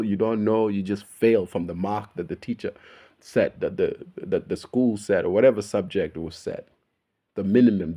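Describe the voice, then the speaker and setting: A man's voice: mid-range voice, warm tone. A man with a Melbourne Australian accent, talking casually straight to his viewers in a laid-back, swearing monologue. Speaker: YouTuber.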